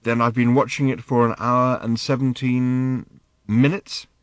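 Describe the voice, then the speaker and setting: A man reading an audiobook, voicing an angry, hurt teenage girl who shouts at her father. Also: little pitch movement, unhurried, dry recording, close to an everyday voice. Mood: curious. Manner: shouting, shrill, furious outbursts.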